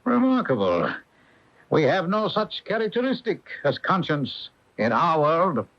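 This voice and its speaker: An actor playing a curious, formal being from another dimension in a radio mystery drama, with puzzled, precise speech on an old AM radio recording. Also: male voice, a little high, wildly swooping pitch, measured pace, a little hiss or hum, exaggerated voice. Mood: wondering. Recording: dull lo-fi 1980 AM radio.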